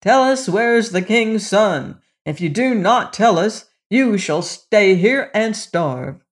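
A woman plays a stern jailer in a fairy tale, mocking and threatening. The voice is raised as if calling out, with scornful, measured words. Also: mid-range voice, lively intonation, voice pushed up.

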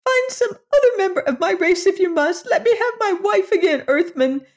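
A woman voicing a small, pitiful male alien that looks like a squirrel, with a squeaky, whimpering voice and an overly formal, pleading way of speaking. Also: lively intonation, normal speed. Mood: anguished. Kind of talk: audiobook character voice.

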